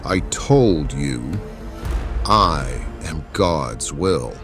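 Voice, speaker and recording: A man's voice: extremely low pitch, expressive, extremely slow, over music, voice pushed down, exaggerated voice. A man voicing a menacing, arrogant medieval cardinal in an audiobook, speaking in a commanding, pompous way. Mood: cold menace. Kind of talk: dramatic audiobook character acting.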